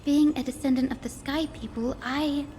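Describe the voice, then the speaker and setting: Gentle, reflective young sky-folk scholar for a game , female actor, high register, music underneath. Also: steady tone, normal speed, natural voice. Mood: wistful. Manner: soft-hearted, reflective. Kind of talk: scripted game voice acting. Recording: long reverb, music behind.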